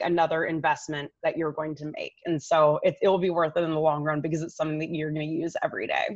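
A woman in her thirties speaks in a lowish voice, explaining practical tips conversationally, as a coach would. She is on a video call from home, and the sound is a little dull. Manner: conversational, explanatory, addresses listener directly. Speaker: image coach giving expert tips. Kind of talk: informal instructional advice on a video call. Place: living room at home, indoors. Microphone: laptop microphone on a video call. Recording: slightly dull, roomy webcam audio.

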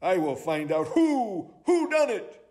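Storybook owl sleuth, played by a man: self-important, theatrical, high and very animated. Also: slow pace, exaggerated voice. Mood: indignant, dramatic. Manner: pompous, declamatory. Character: dramatic, self-important, excitable male owl detective. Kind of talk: children's storybook character voice.

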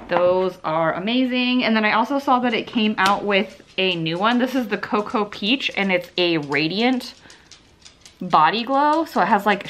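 Bright-voiced chatty woman in her thirties. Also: medium pitch, slight background noise. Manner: chatty, upbeat, lots of "like" fillers. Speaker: beauty vlogger. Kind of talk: casual product haul chat. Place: living room at home, indoors. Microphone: camera mic, seated close to the camera.

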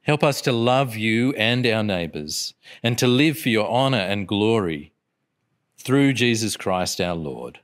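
Middle-aged male chaplain, slightly low voice, slow solemn liturgical delivery. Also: animated. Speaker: chaplain leading prayer. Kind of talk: liturgical prayer. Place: indoor chapel at a lectern. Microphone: lectern microphone.

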